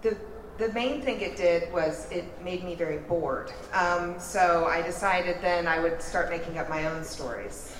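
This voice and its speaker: A middle-aged woman, answering interview questions in a relaxed, self-deprecating way with many fillers. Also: lowish voice, a little slow, reverberant, a little hiss or hum, low-quality recording. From born in Missouri, raised in Texas. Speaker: author guest.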